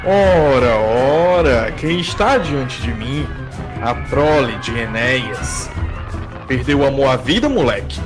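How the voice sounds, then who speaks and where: A man's voice: medium pitch, lively intonation, slow, music in the background. A man with a Brazilian accent playing an arrogant warrior king in an audio drama, sneering and declaiming his challenges. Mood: mocking, menacing.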